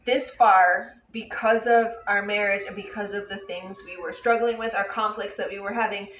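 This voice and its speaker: A woman's voice: medium pitch, steady tone, moderate pace, fairly bright tone, far from the mic. Young American woman vlogger, chatty and explanatory, echoey room, faint background noise. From Buffalo, USA. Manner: chatty, explains at length with frequent "like". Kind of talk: casual chat.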